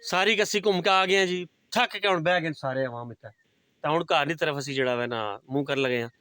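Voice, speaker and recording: A man's voice: fairly high pitch, brisk, bright, forceful tone, clear, resonant tone, no room sound, studio quality. A man with a Punjabi accent talking casually outdoors on a village trail, cheerfully directing his children on a handheld camera. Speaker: father and vlogger. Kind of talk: casual on-location talk.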